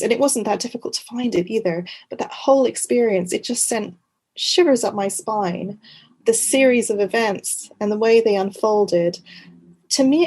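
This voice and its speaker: Earnest, halting woman in interview. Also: mid-range voice, darkish tone. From Montreal, Canada. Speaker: interview guest, author and journalist. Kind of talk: reflective conversational interview.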